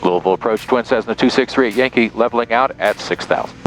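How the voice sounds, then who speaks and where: A man's voice: medium pitch, quick pace, poor audio. A man speaking as a pilot on a headset in a small plane, switching between joking casual chat and clipped radio calls. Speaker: pilot and vlog host. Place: small twin-engine airplane cockpit in flight. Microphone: aviation headset. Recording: engine drone and music behind.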